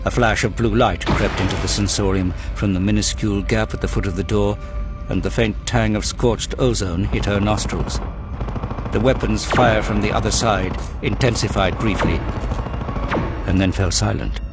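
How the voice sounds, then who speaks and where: Male narrator for audio drama, very deep voice, measured and solemn scripted storytelling with music underneath. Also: fairly even intonation.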